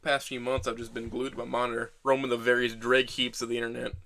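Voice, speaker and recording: Man playing a bright-voiced, weary young man narrator. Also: medium pitch, flat delivery, quick pace, creaky. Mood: wry, muted. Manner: wry, sweary, self-deprecating. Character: weary, injured young man narrating his own story. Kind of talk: first-person audio drama narration.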